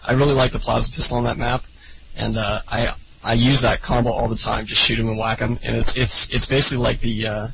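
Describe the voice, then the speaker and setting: A man's voice: medium pitch, flat delivery, fairly quick, vocal fry, bright, forceful tone, slight background noise, poor audio. A man co-hosting a casual gaming podcast, rambling with lots of fillers and laughing easily. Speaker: podcast co-host.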